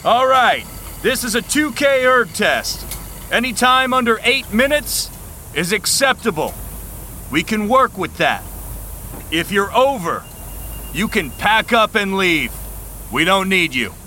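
A man's voice: sits high, sing-song, slow, long pauses, bright, forceful tone, a little hiss or hum, full character voice. A man playing a brash, contemptuous young college rowing captain in an audio drama, barking and taunting with a commanding manner. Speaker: team captain.

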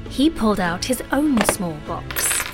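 A woman's voice: mid-range voice, lively intonation, measured pace, lightly acted. A woman playing a young, sheltered sorceress princess who earnestly narrates her own story in an audio drama. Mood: narrating, entranced. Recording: music behind.

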